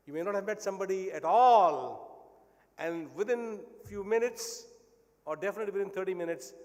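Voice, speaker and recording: A man delivers a welcoming address at an academic conference. His voice sits fairly high and his talk runs loose and conversational, with plenty of fillers. The sound carries a long reverb from a large hall. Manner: conversational, with frequent fillers and restarts. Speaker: society president giving a conference address. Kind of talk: conference speech.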